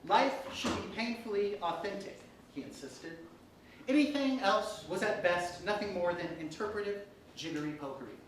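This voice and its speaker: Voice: medium pitch, expressive, normal speed. A person telling scripted comic fairytales live, with theatrical delivery and frequent asides. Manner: theatrical, often breaking into laughter and asides. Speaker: storyteller performing comic fairytales. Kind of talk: live comedic storytelling, scripted narration. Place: live performance in a room with audience. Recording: echoey live room, distant mic, background noise.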